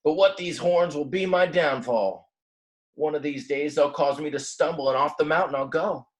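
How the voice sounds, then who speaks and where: A man's voice: on the high side, normal speed, voice pushed up, natural voice. Man reading as a glum little bighorn ram. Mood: grumbling. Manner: grumbling, groaning, sighing. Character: glum young bighorn ram, self-pitying but determined.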